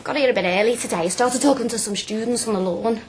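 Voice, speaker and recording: An actress playing a cheeky, eager young working-class student with a Liverpool accent, chatty and blunt, in a radio drama. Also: female voice, a little high, fast talker, slight background noise, natural voice. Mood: animated, chatty.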